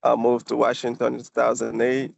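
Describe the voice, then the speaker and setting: A man's voice: medium pitch, monotone, brisk, gravelly, dry recording, highs cut off. A man from Congo, introducing himself earnestly at a committee meeting. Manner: earnest, with fillers. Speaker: committee member.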